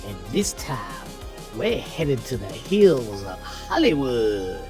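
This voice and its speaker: A man's voice: mid-range voice, sing-song, slow, over music. A man playing a folksy, gleefully morbid horror host uncle in an audio drama, with a teasing, conspiratorial drawl. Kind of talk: 1980s horror anthology host intro.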